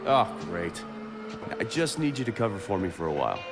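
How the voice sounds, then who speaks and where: A glib, easygoing male rogue in a game cutscene. His casual, wisecracking delivery bounces around in pitch over loud music. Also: very animated, brisk. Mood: glib, wry. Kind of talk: video game cutscene acting.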